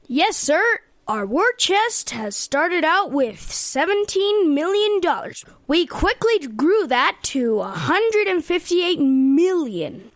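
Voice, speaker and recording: A woman's voice: very high voice, sing-song, slow pace, no room sound, heavily acted. A woman playing a dutiful, deferential campaign aide in a comedy radio play. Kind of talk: comedy radio theater acting.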